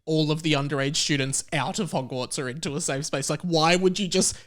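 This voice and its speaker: A man's voice: a little high, brisk, fairly bright tone. A man hosting a podcast in a casual, comedic, chatty style. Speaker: podcast host and quizmaster.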